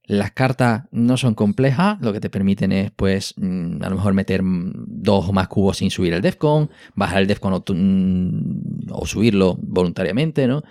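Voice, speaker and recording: A man's voice: low voice, lively intonation, normal speed, darkish tone, no echo, clean studio sound. A man with an accent from Spain, enthusiastically explaining in a casual board game podcast chat, often checking in with tag questions. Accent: Spanish (Spain). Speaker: podcast co-host.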